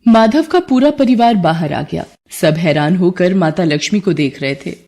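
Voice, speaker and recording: A woman's voice: lowish voice, lively intonation, normal speed, dark tone, faint music behind. A woman storyteller reading scripted narration for a devotional moral story.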